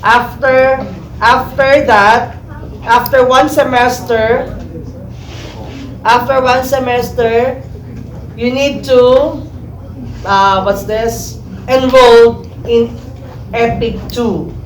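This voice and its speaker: Filipino woman teacher lecturing slowly, echoey room. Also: fairly high pitch, fairly even intonation. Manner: repeats "okay?" checks, switches into Tagalog asides. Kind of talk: classroom lecture. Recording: roomy echo, faint background noise.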